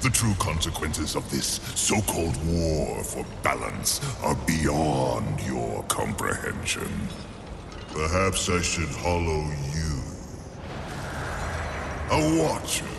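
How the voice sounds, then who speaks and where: Slow, gravelly, ancient underworld lord. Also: male voice, sits low, full character voice. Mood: mocking menace, then scornful. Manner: grandiose, deliberate, theatrical. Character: imperious ancient male lord ruling an underworld, solemn and scornful. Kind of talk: dramatic video game cutscene acting. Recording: long cavernous reverb, music underneath. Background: music.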